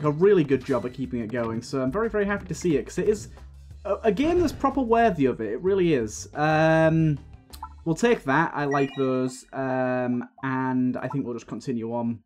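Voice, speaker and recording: A man with a slightly raspy voice from a coming cold, chatting casually as he plays and comments on a video game, thinking aloud with plenty of fillers. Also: a little high. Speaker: gaming video host. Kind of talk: casual let's-play commentary. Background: faint game music.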